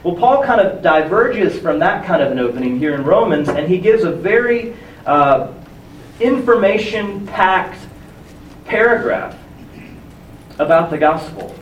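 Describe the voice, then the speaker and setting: A hoarse young man preaching a sermon in a conversational, self-deprecating way, with many restarts. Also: sits high, expressive, unhurried, slight background noise. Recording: echoey room from far off with faint ambience.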